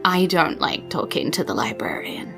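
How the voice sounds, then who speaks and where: A woman's voice: low-pitched, big pitch swings, moderate pace, creaky, over music, voice pushed down, full character voice. A woman voicing a nervous, bookish library assistant in a tabletop game, confiding and rambling hesitantly. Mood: uneasy.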